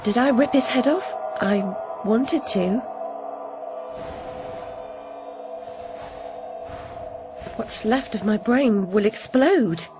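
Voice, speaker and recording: Woman playing a morbid defiant young girl in a game, wry and precise, highish voice, music behind. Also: measured pace, natural voice. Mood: anguished, brooding. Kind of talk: video game character acting.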